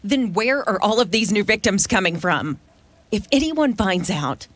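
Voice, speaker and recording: A woman's voice: medium pitch, lively intonation, a little slow, a bit bright, dry recording. An actress playing a cold, suspicious woman inventor in a video game, curt and accusing in manner. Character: stern, suspicious, cold female inventor and wife.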